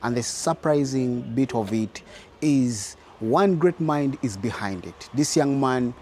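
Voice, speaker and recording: A man's voice: medium pitch, lively intonation, slow pace, darkish tone, a little hiss or hum. Man hosting an interview. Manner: brief affirming murmurs, formal phrasing. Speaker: interviewer, host. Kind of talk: interview introduction and prompts.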